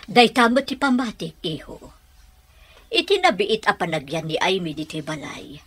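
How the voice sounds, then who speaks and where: A woman's voice: fairly low pitch, big pitch swings, normal speed, fairly bright tone, breathy edge, exaggerated voice. A woman with a Filipino accent acting a middle-aged mother who advises her son in a radio drama. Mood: advising.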